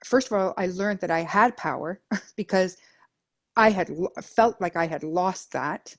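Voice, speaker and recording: A middle-aged woman from Denmark talks earnestly as an interview guest, her voice sitting a bit low. She builds long lists of repeated phrases, with pauses and fillers along the way. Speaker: guest, Reiki master and life coach. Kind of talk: reflective personal interview.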